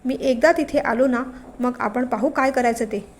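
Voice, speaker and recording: A woman's voice: a little high, moderate pace, slight background noise, close to an everyday voice. A woman voicing an anxious young man who talks in a pleading, earnest way.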